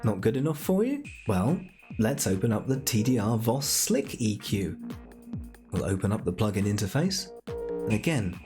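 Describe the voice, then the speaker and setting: A man presenting a casual software tutorial in a playful, chatty way with jokey asides. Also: lowish voice.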